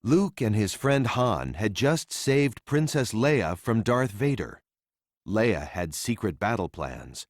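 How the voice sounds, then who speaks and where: A man narrating a children's read-along story in a simple, deliberate storytelling manner for young readers. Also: fairly low pitch, very animated, dry recording, clean studio sound.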